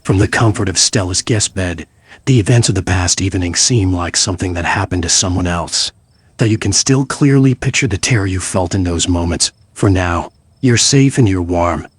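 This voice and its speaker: A man narrates an interactive story in scripted prose addressed to the listener. His voice sits very low and has a husky grain. The sound is close and dry. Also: normal speed, no room sound.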